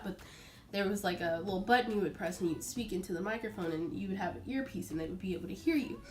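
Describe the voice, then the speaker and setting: A young adult woman telling a personal story casually and dramatically, like a vlogger, acting out what people said. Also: mid-range voice. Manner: chatty, dramatic, acts out quotes. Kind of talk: casual animated storytelling to camera.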